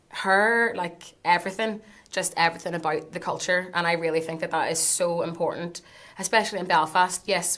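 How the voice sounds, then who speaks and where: A woman's voice: on the low side, fairly bright tone. A young woman, speaking earnestly about her own views. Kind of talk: personal testimony.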